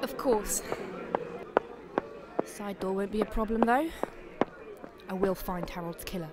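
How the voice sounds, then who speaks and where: A woman's voice: mid-range voice, measured pace, very noisy, close to an everyday voice. A woman playing a determined, grieving widow turned amateur sleuth in a radio drama, speaking theatrically. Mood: resolute, wistful. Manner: theatrical, talking to herself while snooping. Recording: loud guitar music underneath.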